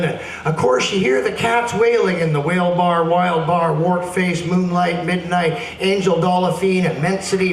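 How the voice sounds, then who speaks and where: A man giving a playful, dramatic spoken-word performance, reading aloud in a casual live setting. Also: sits high. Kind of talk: animated live poetry reading with casual introductions. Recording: roomy, distant mic with faint noise.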